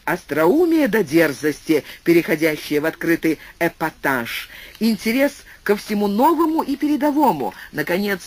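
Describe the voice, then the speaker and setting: Husky, lively synthetic male reader. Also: on the high side. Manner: reads quotation marks aloud word for word. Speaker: audiobook narrator. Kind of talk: reading an essay aloud.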